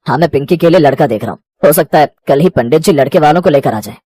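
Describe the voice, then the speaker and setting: A woman's voice: sits low, expressive, quick pace, mellow, slightly rough, dry recording. A woman voicing a worried, exasperated elder brother in a family moral story. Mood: hopeful. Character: exasperated young elder brother, worried about his sisters. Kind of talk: Hindi moral story voice acting.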